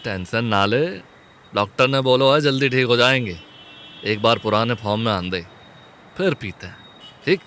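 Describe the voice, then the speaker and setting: A man's voice: medium pitch, moderate pace, pauses often, slight background noise, close to an everyday voice. An actor playing an earnest young man who speaks in rustic village speech, wounded but still in love, in an audio drama. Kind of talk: Hindi audio drama acting. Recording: soft music bed underneath.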